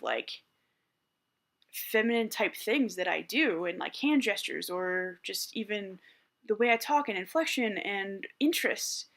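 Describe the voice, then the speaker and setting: Guy in his 30s w a higher voice, vlogging candid and reflective, restarts a lot as he thinks it through. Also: creaky, fairly bright tone. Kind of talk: reflective personal monologue.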